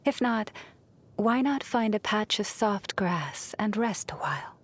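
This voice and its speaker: A woman voicing a gentle, serene moon priestess in a video game, speaking softly and soothingly with a reverent manner. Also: mid-range voice, lively intonation. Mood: serene, tender. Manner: soft, soothing, unhurried, reverent. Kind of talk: scripted video game character voice lines.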